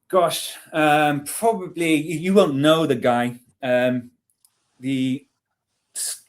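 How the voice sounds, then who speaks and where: A man in his forties with a British accent, telling stories casually and chattily as an interview guest, with some self-deprecation. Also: fairly high pitch. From England. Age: 40s. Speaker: interview guest, army veteran. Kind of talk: casual storytelling.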